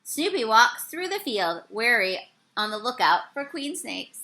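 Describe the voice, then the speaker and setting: A woman reading a children's story aloud, with expressive and carefully phrased narration. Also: a little high, a little slow. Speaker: storybook reader.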